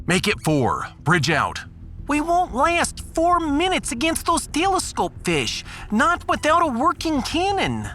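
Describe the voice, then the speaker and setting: A man's voice: very high voice, huge pitch swings, unhurried, bright, forceful tone, slight background noise, exaggerated voice. A man voicing a brash starship captain with a punchy, colloquial, commanding manner in a sci-fi audiodrama. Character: brash, fast-deciding starship captain rallying the crew.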